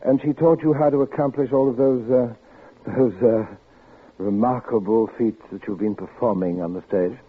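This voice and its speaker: An actor playing a soft-spoken, courtly but sinister man with a knife in a 1950s radio drama. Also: medium pitch, fairly even intonation, moderate pace, slightly rough, close to an everyday voice. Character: smooth, sinister middle-aged man with a knife. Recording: old radio broadcast, tinny band.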